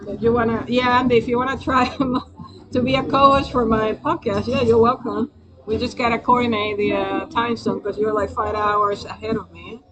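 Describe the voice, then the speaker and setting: A woman's voice: on the high side, fairly even intonation, moderate pace, crowd noise, poor audio. Rambling woman host with Spanish accent. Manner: rambling, hesitant, many fillers and restarts. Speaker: livestream host. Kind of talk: casual live-stream chat to viewers.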